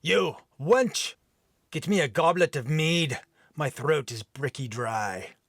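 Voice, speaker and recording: A rough, deep-voiced Viking warrior with a put-on brogue, as a male narrator puts him on, bellowing commands. He pushes his voice up high and loud, lively and swinging. The sound is close and dry. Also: slow, no room sound, heavily acted.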